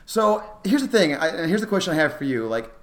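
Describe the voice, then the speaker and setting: A man's voice: on the high side, very animated, fairly bright tone. A man co-hosting a casual sports podcast, talking in an enthusiastic, emphatic way. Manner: enthusiastic, emphatic, quick to laugh. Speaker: podcast co-host.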